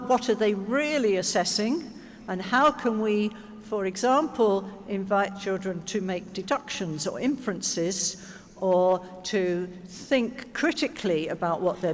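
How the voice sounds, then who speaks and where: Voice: medium pitch, a little hiss or hum. A conference speaker answering audience questions in a reflective, explanatory way, with rhetorical questions and check-ins. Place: large conference hall. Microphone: stage microphone. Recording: reverberant hall over PA microphone.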